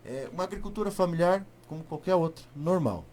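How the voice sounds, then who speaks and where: A man from southern Paraná with a rural southern Brazilian accent, speaking as a politician being interviewed, emphatic and halting, repeating words and asking rhetorical questions. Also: a little high, darkish tone, slight background noise. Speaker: state deputy, interview guest. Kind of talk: political interview, spontaneous speech.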